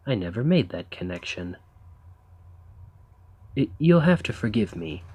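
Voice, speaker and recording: A man playing an eager, courteous professor of ancient civilizations in a scripted ASMR roleplay, speaking in a formal, bookish, slightly halting way with a husky voice. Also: lowish voice, a little mellow. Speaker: audio roleplay performer.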